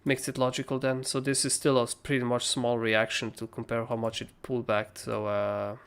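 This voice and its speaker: Hoarse young guy w/ gravelly fry, rambling casual stream host, swears a lot. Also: mid-range voice, flat delivery, brisk. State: hoarse, raspy voice. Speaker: crypto trading livestream host. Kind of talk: casual live chart analysis.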